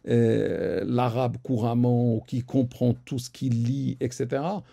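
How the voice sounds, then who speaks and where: Guy answering questions live, lots of euhs, gravelly husky voice. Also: mid-range voice, level tone, measured pace, mellow. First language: Arabic. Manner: hesitant, many fillers and repeated words. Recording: live stream recording.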